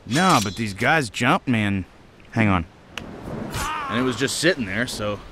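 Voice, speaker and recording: Deep-voiced laid-back metal roadie. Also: male voice, animated, unhurried, background noise. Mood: offhand. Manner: casual, wry, slangy. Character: brawny laid-back heavy-metal roadie, cocky, wisecracking adult man. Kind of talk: video game cutscene acting. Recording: loud game music behind.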